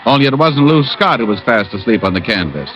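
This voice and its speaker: A man's voice: medium pitch, very animated, a little fast, a bit bright. An actor playing a wisecracking private eye who narrates his own case with dry, wry asides in old radio drama style. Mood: dry narration. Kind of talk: 1940s-50s radio detective acting and first-person narration. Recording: muffled old radio recording, music behind.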